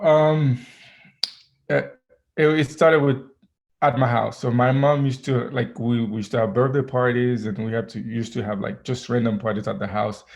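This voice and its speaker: A man, a guest DJ chatting casually in an interview, relaxed and full of ums and restarts. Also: medium pitch, creaky. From Haiti. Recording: dull lo-fi audio.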